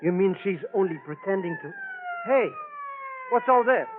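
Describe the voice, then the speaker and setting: A high-voiced, cowardly comic sidekick from an old radio play. He stammers and wheedles with a very lively swing in pitch. The recording is thin and narrow, like vintage radio. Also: normal speed, noisy background. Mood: excited. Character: jittery, cowardly, broke comic male sidekick. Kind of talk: old-time radio comedy-drama acting.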